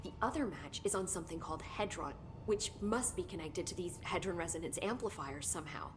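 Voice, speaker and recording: An actress playing a curious, analytical government researcher in a video game, inquisitive and articulate. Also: female voice, on the low side, expressive, brisk, music in the background, lightly acted. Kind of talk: video game cutscene acting.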